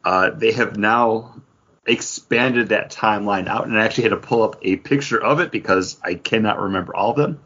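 A man with a low, somewhat husky voice co-hosts a casual geek news show. He talks in a conversational, enthusiastic way. The recording is a little dull and lo-fi. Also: a little fast, pushed and bright. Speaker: podcast co-host.

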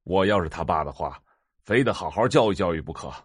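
Middle-aged man, self-righteous, grumbly, low pitch, very dry recording, audio drama. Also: animated, brisk, husky, no room sound. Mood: indignant. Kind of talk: Chinese audio drama acting.

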